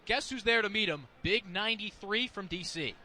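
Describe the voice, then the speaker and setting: High, sharp-voiced American male football analyst. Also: lively intonation, moderate pace. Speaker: color commentator.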